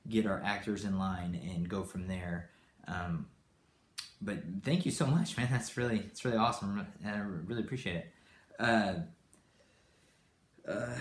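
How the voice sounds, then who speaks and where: Man, deeper voice, grew up in Brazil, rambling casual stream talk, fillers, roomy echo. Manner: rambling, with many fillers and restarts. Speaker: channel host. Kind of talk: live-stream chat answering viewers.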